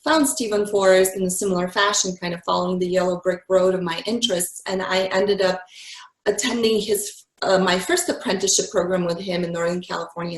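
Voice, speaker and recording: A woman in her forties from Bulgaria, answering interview questions in a reflective way with many pauses. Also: lowish voice, roomy sound, rough recording. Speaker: guest.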